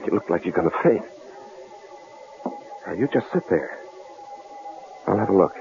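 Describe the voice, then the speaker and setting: Flustered, animated husband on old radio. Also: male voice, low-pitched, moderate pace, gravelly. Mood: alarmed. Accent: American. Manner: hesitant, stammering, pleading. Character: anxious, apologetic husband, cautious and easily flustered. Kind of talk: 1970s radio drama acting. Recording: tinny, lightly noisy old radio broadcast.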